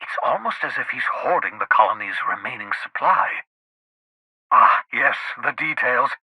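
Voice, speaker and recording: An actor playing an eccentric, excitable fugitive scientist in a video game, an idealistic schemer who speaks in a theatrical, articulate and emphatic way. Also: male voice, medium pitch, normal speed, slightly rough, dry recording, full character voice, poor audio. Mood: scheming, animated. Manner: theatrical, articulate, emphatic, swinging between fervour and muttered asides. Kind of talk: video game character dialogue.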